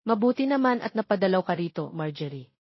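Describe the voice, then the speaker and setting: A woman's voice: mid-range voice, sing-song, fast talker, dry recording, dull audio. A woman voicing a kindly middle-aged mother in an audio story, speaking in a polite, motherly way.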